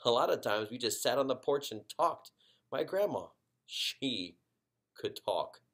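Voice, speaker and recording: A man voicing an easygoing, gently bossy family dad with a folksy, emphatic way of talking in a children's story. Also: medium pitch, sing-song, a little slow, heavily acted. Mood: nostalgic, amused.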